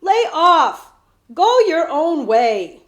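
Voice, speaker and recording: A woman voicing a brash street boy who talks loud and cocky in slang. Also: extremely high pitch, big pitch swings, drawn-out words, roomy sound, voice pushed up, full character voice. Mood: annoyed.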